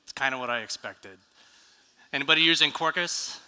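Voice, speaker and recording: Bright-voiced American man on a hall stage. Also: mid-range voice, a little fast, smooth. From United States. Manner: casual, quizzes the audience with shows of hands. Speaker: conference speaker. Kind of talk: live tech conference talk. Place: large conference hall stage. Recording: reverberant hall.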